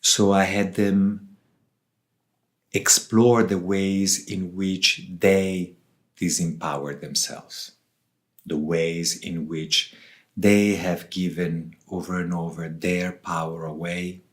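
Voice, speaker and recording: A man from southern Switzerland giving reflective interview answers as a guest, speaking deliberately in short phrases with pauses. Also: low voice, little pitch movement. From Switzerland, southern part. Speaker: author and ayahuasca facilitator, interview guest.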